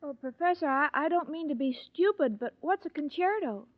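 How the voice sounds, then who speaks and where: A woman's voice: high voice, animated, brisk. An actress playing a sweet, naive, dim-witted young woman in a 1940s radio comedy, guileless and earnest. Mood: innocent, earnest. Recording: muffled 1940s radio broadcast.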